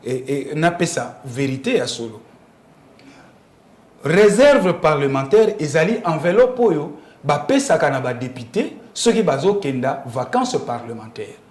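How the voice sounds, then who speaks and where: A man's voice: a little high, sing-song, a little slow, a little mellow, roomy sound. A man with a Congolese accent explaining politics emphatically in an interview, often asking rhetorical questions. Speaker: interview guest commenting on politics. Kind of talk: animated political interview talk.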